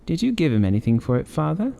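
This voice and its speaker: A man's voice: on the high side, very animated, fast, creaky, warm tone, pitched above the performer's own voice. A man voicing a wry, inquiring older wife and mother as an audiobook character. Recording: long reverberant hall-like echo.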